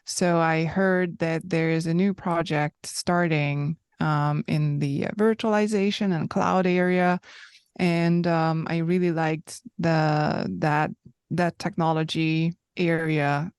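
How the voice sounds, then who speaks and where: A woman in her thirties with a low, smooth voice, recounting her story as an interview guest. She speaks slowly and reflectively, pausing often. The sound is dry and close. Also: flat delivery, darkish tone, no echo. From Hungary. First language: Hungarian. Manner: thoughtful and reflective, with frequent pauses.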